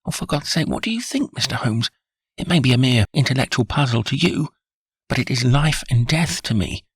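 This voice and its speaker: A man reading aloud and voicing a distraught husband, pleading urgently in a husky voice. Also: mid-range voice, normal speed, no room sound, voice pushed up. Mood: desperate.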